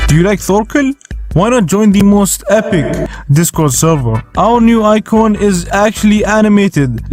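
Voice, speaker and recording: A man's voice: high-pitched, a little mellow. A man whose first language is Arabic, narrating a scripted review in a casual, joking way as the host. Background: faint music.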